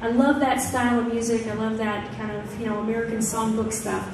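Young woman singer, high voice, loose friendly stage patter, stand mic, heard from far off with heavy echo and guitar behind. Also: fairly quick, noisy background. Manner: relaxed, rambling, friendly. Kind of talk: chatty stage banter between songs. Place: outdoor fair stage. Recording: echoey live stage sound taped from the crowd. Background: acoustic guitar.

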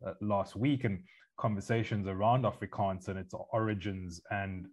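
A man's voice: on the low side, fairly even intonation, normal speed. A man from Africa, hosting a podcast interview and asking long, winding questions. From South Africa. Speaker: podcast host.

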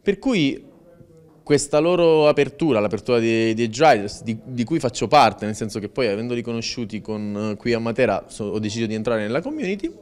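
Energetic man interviewee, 30s; rapid, crisp delivery. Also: medium pitch. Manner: enthusiastic, emphatic. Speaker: interviewee, community member. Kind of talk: interview answers. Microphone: handheld interview mic.

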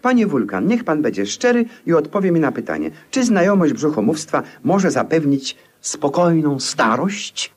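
A man playing an excitable, dandyish gentleman in a theatrical, pompous manner in a grotesque 1950s radio play. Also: on the high side, moderate pace, hardly any pauses, slightly rough, heavily acted. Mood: curious, eager. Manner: pompous, theatrical self-presentation. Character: excitable, dandyish gentleman, a maker of false noses. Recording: 1957 radio play recording.

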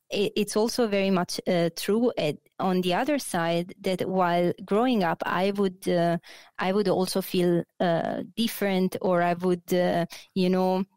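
A slow, unhurried Italian woman in her thirties, speaking over a headset on a video call. Her voice is lowish and smooth, and the sound is close and dry. She pauses often and restarts her sentences, with plenty of fillers. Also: a little mellow, no echo. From Italy, Naples. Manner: hesitant, many fillers and restarts. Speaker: guest, architect. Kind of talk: casual interview. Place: bright office.

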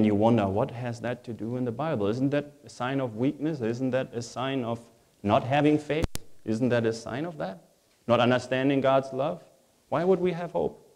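A man's voice: medium pitch, darkish tone. A man with a German accent, a native German speaker, preaching a sermon as a pastor in a reflective, conversational way, repeating phrases for emphasis.

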